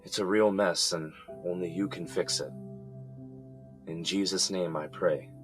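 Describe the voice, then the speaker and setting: An actor playing a grown son who is in jail and prays for help, in an earnest, halting way with sighs. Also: male voice, low voice, fairly even intonation. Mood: pleading. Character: adult son jailed, praying for release. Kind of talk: audio drama acting. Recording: music under the voice.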